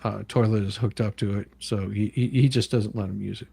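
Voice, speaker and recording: A man hosting a casual livestream, talking in a halting, repetitive and emphatic way. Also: on the low side, fairly even intonation, creaky, dark tone, breathy edge, no echo. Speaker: livestream host with own channel.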